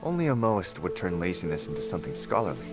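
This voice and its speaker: A man's voice: deep voice, sing-song, quick pace. A man playing a young traveler in a video game, a junior disciple who is wry but sincere. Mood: skeptical, teasing. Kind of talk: video game character acting. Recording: loud music behind the voice.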